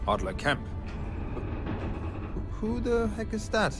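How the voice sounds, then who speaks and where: An actor playing a weary, evasive space miner in a video game, hesitant and pleading, with music behind him. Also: male voice, high voice, huge pitch swings, slow pace, heavily acted. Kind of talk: video game character dialogue.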